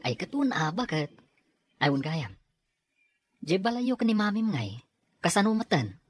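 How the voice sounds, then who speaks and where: An actor playing a mild, apologetic working husband in an Ilocano radio drama, with a husky voice and a Filipino accent. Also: male voice, high-pitched, sing-song, unhurried, dry recording, heavily acted. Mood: earnest, grateful.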